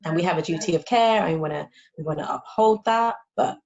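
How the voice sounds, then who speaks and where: A woman with a very low voice joins a relaxed panel chat, sounding muffled through dull, lo-fi audio. She talks conversationally, often turning to her listeners to check they agree. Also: a little fast, creaky. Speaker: speech and language therapist. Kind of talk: casual panel discussion.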